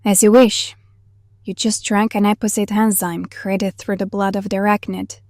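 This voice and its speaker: A woman's voice: medium pitch, flat delivery, normal speed, darkish tone, dry recording, clean studio sound, close to an everyday voice. An actress playing a sardonic yet soothing woman survivor in an audio drama, cool, teasing and matter-of-fact. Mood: wry.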